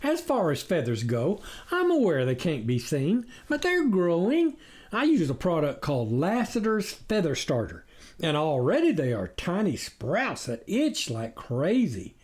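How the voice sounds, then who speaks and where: A man's voice: on the high side, wildly swooping pitch, measured pace, a little mellow, voice pushed up. A man voicing a sly storybook coyote who passes himself off as a duck with glib, folksy sales patter. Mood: boastful, glib. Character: sly male coyote posing as a vegan duck. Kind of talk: storybook character reading.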